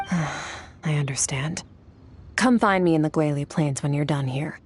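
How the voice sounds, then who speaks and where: A woman playing an aloof, powerful warrior in a video game, cool, deadpan and dismissive. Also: low voice, measured pace, a little hiss or hum. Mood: detached. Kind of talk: restrained video game dialogue. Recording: music behind the voice.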